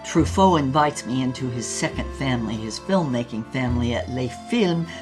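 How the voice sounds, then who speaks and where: An actress playing an older, passionate former movie star, reminiscing in a dramatic, savoring way in an audio-drama memoir. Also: female voice, a bass voice, lively intonation, moderate pace, over music. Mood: rapturous. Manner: dramatic, savoring, swooping emphasis.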